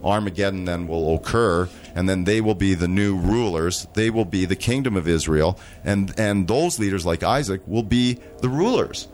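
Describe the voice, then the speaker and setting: A man speaking earnestly and slowly as an interview guest, often restarting his sentences. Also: sits low, darkish tone, a little hiss or hum. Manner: slow, deliberate, with frequent restarts. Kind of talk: earnest conversational monologue.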